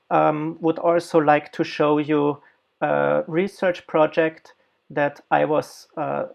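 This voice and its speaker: A man from Austria, a designer and researcher, giving an academic guest lecture with halting speech and many fillers. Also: a little high, vocal fry, highs cut off.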